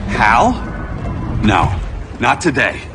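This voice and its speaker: A man's voice: medium pitch, sing-song, extremely slow, music in the background. An actor playing an earnest, weary male superhero in a dramatic video game cutscene. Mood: wary. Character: earnest, weary male speedster hero returning from retirement.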